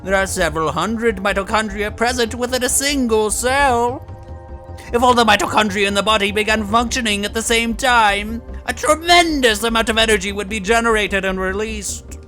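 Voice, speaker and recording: A woman in a fan dub voicing an obsessive, condescending, sinister professor with a deliberate, theatrical way of lecturing. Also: fairly high pitch, bright, forceful tone, soft background music. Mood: condescending menace. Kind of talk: fan dub voice acting of a video game scene.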